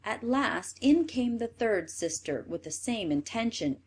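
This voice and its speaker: A woman reading a fairy tale for children as an expressive storyteller. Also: a little high, a little slow, husky. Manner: expressive read-aloud delivery.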